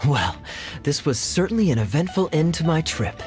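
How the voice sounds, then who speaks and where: Casting: performer voicing a sly, confident game gambler, teasing and theatrical, very lively pitch, music underneath. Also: normal speed, close to an everyday voice. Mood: playful, smug. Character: suave playful gambler, cunning and self-assured trickster. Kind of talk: video game voice acting.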